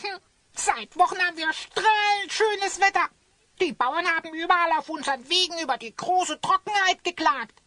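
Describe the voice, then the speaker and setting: A woman playing a cheeky, mocking little creature companion with a squeaky voice in a German children's audio play. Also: pushed and bright, no room sound, full character voice. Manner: teasing, sassy.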